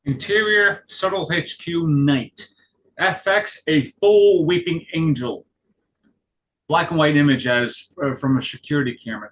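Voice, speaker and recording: A man narrating stage directions at a script table read, halting and correcting himself as he goes. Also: medium pitch, low-quality recording. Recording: clear background noise.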